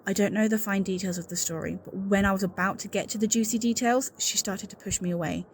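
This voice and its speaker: A woman's voice: medium pitch, steady tone, quick pace, a little hiss or hum, lightly acted. A woman playing an anxious, suspicious secretary in an audio roleplay, speaking in an earnest, confiding and insistent way. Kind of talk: scripted audio roleplay acting, one-sided conversation.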